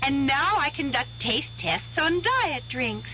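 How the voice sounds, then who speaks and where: A perky grown-up Goldilocks, voiced by a woman in a vintage radio ad. She talks in a high, bright, sing-song voice that leaps all over. The broadcast sounds dull, with a light hiss behind it. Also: sing-song, slow pace, full character voice. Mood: bubbly. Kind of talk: 1970s radio commercial.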